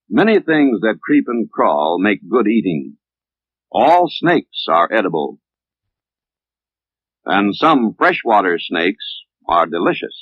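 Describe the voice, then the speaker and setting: An American man narrating a scripted 1950s instructional training film in a measured, didactic, formal manner, on an old film soundtrack. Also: on the low side, dry recording. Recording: tinny, band-limited 1950s film soundtrack.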